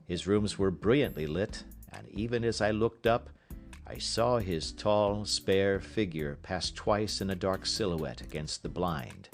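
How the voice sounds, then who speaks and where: A man narrating an audiobook in an expressive literary style, with measured, careful phrasing. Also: sits low, vocal fry, soft background music.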